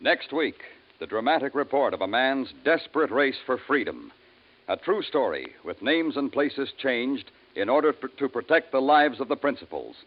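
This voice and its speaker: A man's voice: medium pitch, very animated, normal speed, noisy background. A man announcing a 1950s radio program from a script. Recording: muffled vintage radio recording with music behind. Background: music.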